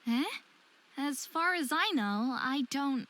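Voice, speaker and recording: A young woman of faerie blood from a fantasy story game, played by an actress. Her voice sits high and bright. She speaks earnestly and with some hesitation, guarded and quick to apologize. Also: expressive, full character voice. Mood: doubtful. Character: young faerie-descended hybrid woman, apologetic, wary, insecure. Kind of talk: visual novel game voice acting.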